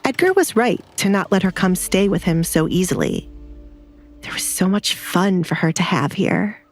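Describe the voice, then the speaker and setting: A woman's voice: on the low side, animated, moderate pace, faint music behind. A woman narrator reading a scripted romantic drama in a storytelling style.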